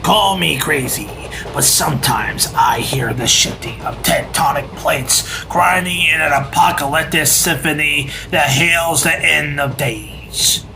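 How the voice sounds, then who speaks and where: A man's voice: on the high side, unhurried, gravelly, few pauses, background noise. A man voicing a gloomy, eccentric cartoon sea pony doomsayer in an ominous, theatrical, portentous manner. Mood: ominous, wistful. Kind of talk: animated cartoon voice acting.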